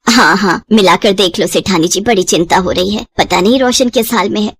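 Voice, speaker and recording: A woman's voice: medium pitch, fairly quick, husky, no echo, pitched below the performer's own voice. A woman voicing a poor, self-sacrificing village mother in a storybook tale, humble, tender and pleading. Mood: anxious.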